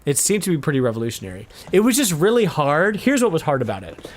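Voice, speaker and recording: A man hosting a casual video game podcast, talking with animated, exasperated emphasis. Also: a little high, fast. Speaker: podcast host.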